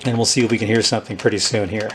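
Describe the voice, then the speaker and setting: A man's voice: lowish voice, fairly even intonation, a bit bright. Man's casual hands-on demo, noisy background. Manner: halting, thinking aloud while handling equipment. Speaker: host demonstrating a vintage tape recorder. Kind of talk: unscripted casual demonstration. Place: indoors at a tabletop.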